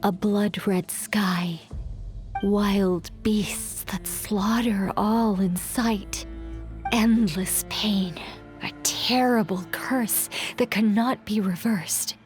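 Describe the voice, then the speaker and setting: A woman voicing a solemn, ominous figure in a dramatic video game monologue, grave, hushed and deliberate. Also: mid-range voice, steady tone. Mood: grim, foreboding. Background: faint music.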